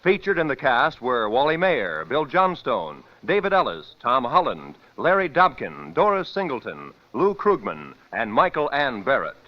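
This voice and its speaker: A man's voice: mid-range voice, wildly swooping pitch, moderate pace, few pauses. A man announcing scripted closing credits in the style of 1940s radio. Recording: dull vintage radio recording.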